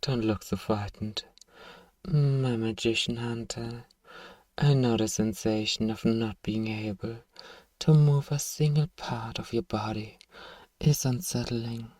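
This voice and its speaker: Man playing a slow, hushed, menacing vampire seducer. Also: medium pitch, fairly even intonation, no room sound, recorded from a distance, natural voice. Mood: hypnotic, condescending. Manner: slow, hushed, taunting, condescending, lapses into whispers. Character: powerful elder male vampire, suave, menacing, manipulative seducer. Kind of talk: ASMR roleplay monologue.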